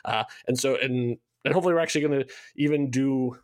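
A man's voice: medium pitch, quick pace, no room sound, studio quality. A man talking enthusiastically in a casual promotional podcast chat, explaining things in a rambling way as a show's creator. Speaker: show creator being interviewed.

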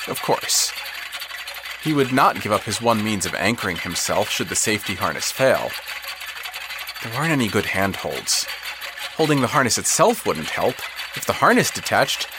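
Animated male story narrator with swooping pitch. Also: background noise. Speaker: narrator of an audio fiction podcast. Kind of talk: scripted literary story narration.

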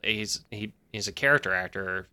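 A man's voice: on the low side, moderate pace, bright, forceful tone, smooth. A man telling anecdotes as a podcast guest, explaining casually and restarting to clarify. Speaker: podcast guest, audio drama writer. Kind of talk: casual interview chat, anecdotal.

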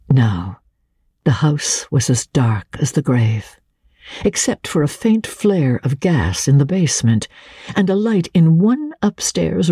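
A woman narrating classic literature as a dramatic reading, with measured, expressive and deliberate phrasing. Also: deep voice, slightly rough, no room sound, studio quality. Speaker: audiobook narrator.

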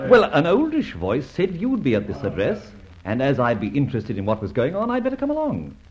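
An actor playing a suave, cheeky gentleman adventurer in an old radio drama, well-spoken and jaunty with theatrical exclamations. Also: medium pitch, wildly swooping pitch, fairly quick, a crowd in the background. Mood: polite insistence. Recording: muffled, hissy old radio recording.

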